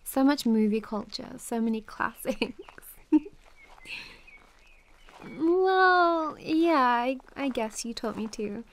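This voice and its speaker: A young woman playing a warm, playful best friend in an intimate ASMR roleplay, chatting casually with a giggly, teasing, hesitant manner. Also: sits high, animated, takes it slowly, pauses often, full character voice. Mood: teasing, fond.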